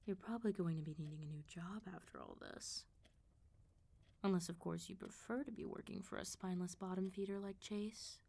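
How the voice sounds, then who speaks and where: A woman voicing a calm, flirtatious, quietly threatening female vampire mob boss in a soft ASMR roleplay. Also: on the low side, brisk, full character voice. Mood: calm, menacing. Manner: controlled, smooth-talking, teasing, quietly threatening.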